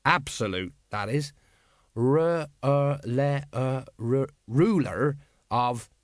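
A man's voice: mid-range voice, very animated, a little fast, dry recording, studio quality, lightly acted. A man voicing a stout, weary middle-aged sergeant who mumbles and hesitates. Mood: stumbling reading.

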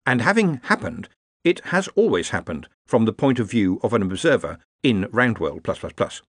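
A man's voice: lowish voice, big pitch swings, normal speed, fairly bright tone, no echo. A terse, literal-minded thinking machine, voiced by a man in a dry, clipped delivery. It speaks its precise statements in a close, dry recording. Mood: flat, precise.

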